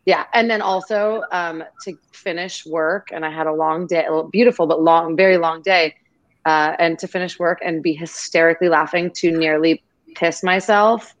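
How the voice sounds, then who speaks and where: A woman with a low voice speaks as a guest over a video call. She talks in an effusive, grateful and rambling way. The audio is dull and lo-fi. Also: steady tone, moderate pace, crowd noise. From half Greek, half Irish family. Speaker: podcast guest. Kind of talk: casual video-call interview.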